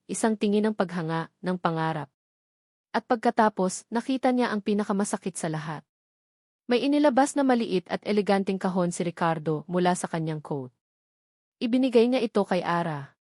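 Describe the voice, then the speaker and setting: A woman with a Filipino accent narrates a dramatic romance from a script. Her pitch sits in the middle of the female range. The recording is close and dry, with very little room sound. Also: a little mellow, no room sound. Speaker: story narrator.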